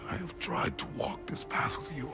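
An actor playing a stern, imposing middle-aged warrior father in a video game, gruff and gravelly, with clipped, formal, commanding speech. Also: normal speed, natural voice. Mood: stern, suspicious. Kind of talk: dramatic video game acting. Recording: loud music behind.

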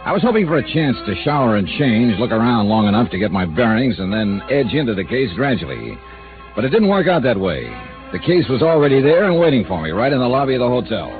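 An actor playing a dry, deadpan insurance investigator who narrates and talks in a 1950s radio drama. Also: male voice, medium pitch, fast, natural voice. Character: wry, hard-boiled insurance investigator man. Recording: lo-fi old radio broadcast with music.

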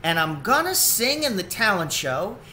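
A man's voice: high-pitched, very animated, normal speed, bright, forceful tone, slight background noise, voice pushed up, heavily acted. A man voicing a determined, hopeful otter mother from a storybook, with a homespun, plucky manner. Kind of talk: storybook character reading.

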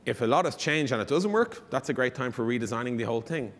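A man's voice: mid-range voice, expressive, fast talker, a little hiss or hum. A man in his thirties giving an informal, emphatic conference presentation from a stage. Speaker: conference speaker. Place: large conference stage, indoors. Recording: echoey hall reverb.

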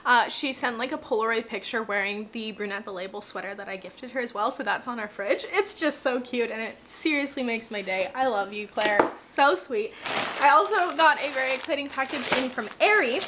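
A woman's voice: a little high, a little fast, background noise. Bubbly young woman vlogging at home. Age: 20s. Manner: bubbly, gushing, frequent "like" fillers. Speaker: beauty vlogger. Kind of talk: casual chatty vlog. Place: home, bathroom and living room.

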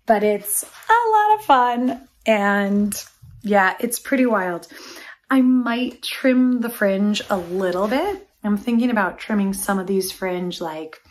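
Forties lady showing off her knits to the camera, chatty, excited, laughs a lot. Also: mid-range voice. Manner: chatty, enthusiastic, laughs often, thinks aloud. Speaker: knitwear designer vlogger. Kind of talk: casual show-and-tell chat. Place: sunny room by a window.

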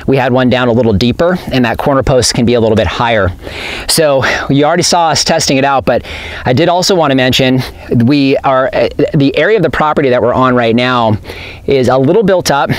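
A man's voice: medium pitch, no room sound. A middle-aged man giving a casual, practical how-to explanation outdoors on open farmland, filmed by a camera a few metres away. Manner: plain, practical, talks with his hands. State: a little out of breath. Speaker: homesteading vlogger.

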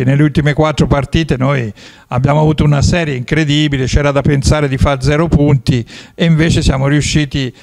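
A man in his fifties hosting a football talk show in a studio, talking with animated gestures into a handheld mic. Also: a little high, vocal fry. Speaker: sports show host. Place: studio with dark curtain.

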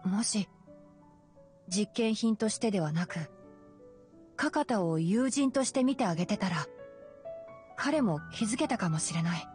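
Woman as calm boyish forest ranger, music behind. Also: mid-range voice, natural voice. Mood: calm, explaining. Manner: measured, explanatory. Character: calm, knowledgeable young male fox-eared forest ranger. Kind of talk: Japanese anime game voice acting. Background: game music.

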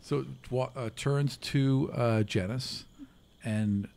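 A middle-aged man improvising narration as a tabletop game master, pausing often mid-sentence. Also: mid-range voice, a little mellow. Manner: halting, many pauses mid-sentence. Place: small room, around a table. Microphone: individual table microphone, close.

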